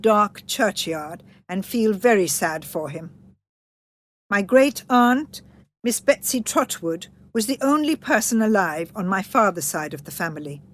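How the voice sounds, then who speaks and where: A woman's voice: a little high, a bit bright. A woman narrating a children's story in a scripted read-aloud style.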